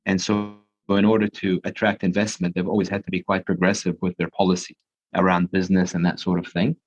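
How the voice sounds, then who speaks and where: A man with a very low voice talks in a measured, explanatory way and often restarts his sentences. The sound is dull and lo-fi. He is a young adult guest on a podcast. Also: level tone. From Dubai. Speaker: guest, surgeon. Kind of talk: podcast interview answers.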